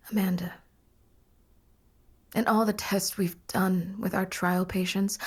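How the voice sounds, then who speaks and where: A female dream therapist in a horror podcast drama. Her voice is husky and breathy, with a gravelly fry at the edges. She guides and reassures in an earnest, coaxing way. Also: mid-range voice. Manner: coaxing, guiding. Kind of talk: psychological horror audio drama acting.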